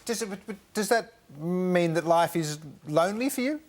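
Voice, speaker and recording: A man's voice: high-pitched, animated, normal speed, a little mellow. A man hosting a morning TV show, asking a guest curious questions in a lightly teasing way. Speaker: TV host. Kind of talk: morning TV interview.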